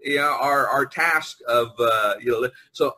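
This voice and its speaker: A middle-aged man hosting a casual group chat over an online call, talking in a rambling, halting way and repeating himself. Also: medium pitch, bright, forceful tone. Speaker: podcast host. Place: online video conference call.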